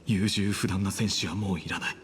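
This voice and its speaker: A man voicing a solemn, resigned young hero in a dramatic game scene, softly and with breathy phrase endings. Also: deep voice, steady tone, racing, natural voice. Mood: solemn, resolute. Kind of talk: dramatic Japanese game voice acting. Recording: game music under the dialogue.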